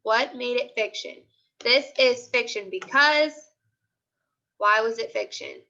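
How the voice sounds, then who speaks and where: A woman's voice: on the high side, slow, pushed and bright, highs cut off. A woman teacher leading a live online class discussion, prompting with repeated questions and short commands.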